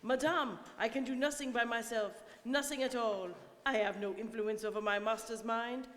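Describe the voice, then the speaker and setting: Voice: mid-range voice, steady tone, normal speed, fairly bright tone, natural voice. An actor playing an anxious, devoted valet in a radio drama, formal and deferential. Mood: mournful, worried. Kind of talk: stage radio drama acting. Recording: very reverberant studio hall.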